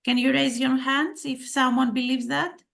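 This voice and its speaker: A woman's voice: high-pitched, fairly even intonation, moderate pace, vocal fry, a bit bright. A middle-aged woman from Greece with a Greek accent, giving a conference talk in a hesitant way, with many fillers and questions to the audience. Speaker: school head of digital education giving a talk.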